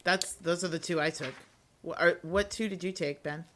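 Woman with a really low voice cohosting a podcast, thoughtful, laughs a lot. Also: steady tone, normal speed, fairly bright tone, slight background noise. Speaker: podcast co-host, music teacher. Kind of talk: casual panel discussion.

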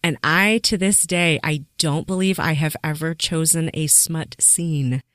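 A woman's voice: sits low, fairly even intonation, measured pace, fairly bright tone, dry recording. Podcast host lady, cheers the guest on, laughs a lot, dry sound. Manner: encouraging, reacts with laughs and exclamations. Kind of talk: casual interview chat.

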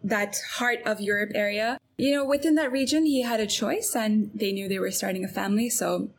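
A young woman from Belgium, a guest in a casual interview, chatting in a relaxed way with many fillers and frequent laughs. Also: mid-range voice, a little fast, slight background noise.

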